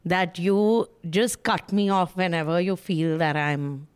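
A woman co-hosting a casual podcast, playful and quick to laugh. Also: fairly low pitch, clear, resonant tone. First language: Bengali is her second language. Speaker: podcast co-host. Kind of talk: casual chat.

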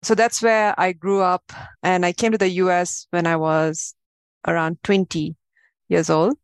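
Woman from malaysia, 30s, guest on a podcast, explains things calmly, dry sound. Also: lowish voice, unhurried, clear, resonant tone, no room sound. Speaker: guest, AI advisor. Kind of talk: interview answers.

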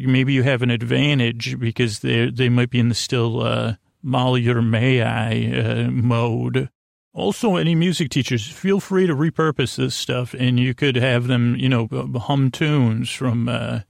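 A man with a creaky, gravelly voice hosts a sleep podcast, recorded very dry. He rambles in a halting way, circling around his thoughts and leaving sentences unfinished. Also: mid-range voice, no room sound. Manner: meandering, halting, often trailing off mid-thought. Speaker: podcast host. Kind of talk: rambling bedtime podcast monologue. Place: podcast studio.

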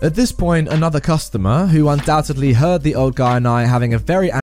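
A man's voice: brisk, faint music behind. A man reading an online story aloud, narrating it expressively. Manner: expressive reading, swings in pitch.

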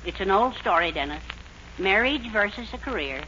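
A man's voice: sits high, lively intonation, moderate pace, pushed and bright. An actor playing a genial, wry, middle-aged fatherly American man in a 1940s radio comedy. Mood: wry, advising. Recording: narrow-band old radio recording, faint noise.

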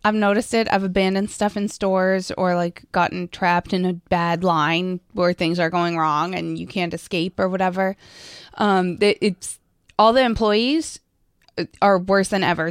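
A woman's voice: medium pitch, clear, resonant tone, no room sound, clean studio sound. A middle-aged woman co-hosting a casual live-stream chat, chatty and opinionated. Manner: chatty, opinionated, laughs easily. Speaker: co-host.

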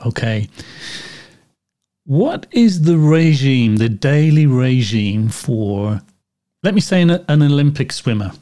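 Male host, jokey questioning, mellow tone, mid pitch. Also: very animated, slow, dry recording, clean studio sound. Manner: jokey, laughs while asking questions. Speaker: podcast host. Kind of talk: casual interview.

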